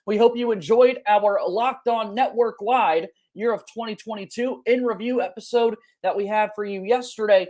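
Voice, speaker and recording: High-voiced male sports podcast host. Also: normal speed, hardly any pauses, darkish tone. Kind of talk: upbeat scripted intro and interview talk.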